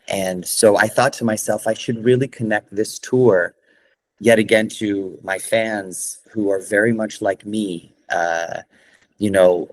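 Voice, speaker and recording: A man from California, a singer-songwriter answering interview questions in an earnest way, with many fillers and restarts. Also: fairly low pitch.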